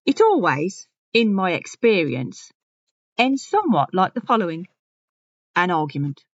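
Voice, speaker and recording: A woman's voice: fairly low pitch, sing-song, unhurried, no echo, lo-fi sound. A woman playing a fussy, haughty, anxious older woman in a dramatic audio monologue, with a pompous, self-important way of repeating herself. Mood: irritated, disdainful. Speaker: audio story reader. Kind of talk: dramatic first-person monologue reading.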